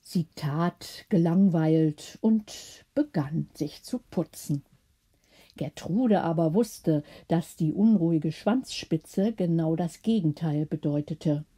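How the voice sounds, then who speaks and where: A woman's voice: deep voice, takes it slowly, warm tone, slightly rough. A woman narrating a scripted bedtime story as an audiobook reader.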